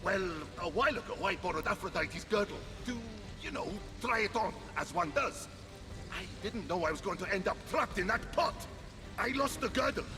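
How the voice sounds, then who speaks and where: A man's voice: sits high, expressive, normal speed. A man playing a vain, blustering, touchy war god in a video game, theatrical and easily flustered. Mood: sheepish, confiding. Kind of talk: video game cutscene voice acting. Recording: music behind the voice.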